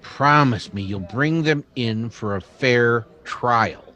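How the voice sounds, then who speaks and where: A man's voice: mid-range voice, takes it slowly, pushed and bright, highs cut off. A man playing an earnest, principled older townsman in a radio western. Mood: earnest concern. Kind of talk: old-time radio western acting.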